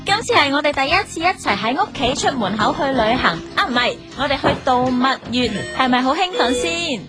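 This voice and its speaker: A woman's voice: high-pitched, expressive, a little fast. A woman with a Hong Kong Cantonese accent playing a sweet, eager young newlywed in an audio drama, coaxing and girlish. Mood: excited. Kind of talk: acted audio drama dialogue. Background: music behind the voice.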